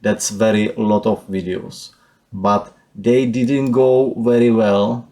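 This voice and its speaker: A man with a Czech accent walking through his channel's stats, speaking non-native English haltingly with many fillers. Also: lowish voice, steady tone, mellow. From Czech Republic. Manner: halting, with frequent "uh" and "let me say". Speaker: YouTuber. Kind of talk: screen-share channel walkthrough.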